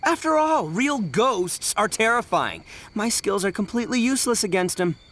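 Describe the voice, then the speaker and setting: A man's voice: high voice, very animated, moderate pace, fairly bright tone, a little hiss or hum. An actor playing a cocky, boastful young male gang leader who spooks easily, in a video game. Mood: flustered, defensive. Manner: boastful, swaggering, colloquial. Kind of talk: animated video game voice acting.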